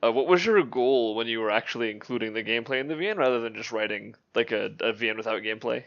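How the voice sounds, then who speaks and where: Fast, bright-voiced man, lo-fi recording. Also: medium pitch. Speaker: podcast host. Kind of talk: casual discussion. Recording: dull, lo-fi voice audio.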